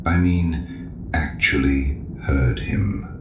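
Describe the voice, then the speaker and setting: A man in an audio drama playing a sinister, ancient, knowing penitent, courteous and sardonic, with a very deep gravelly voice. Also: full character voice. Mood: challenging. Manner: deliberate, probing, sardonic, urbane. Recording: echoey room with audible background noise.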